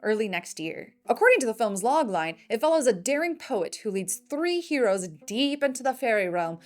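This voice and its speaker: A woman's voice: fairly high pitch, sing-song, moderate pace, faint music behind. A woman hosting a scripted film news show with wry, opinionated commentary.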